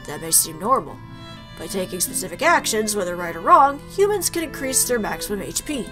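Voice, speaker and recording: A woman's voice: medium pitch, rough recording. A woman reading visual novel dialogue aloud as a gaming video host. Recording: prominent game music behind voice.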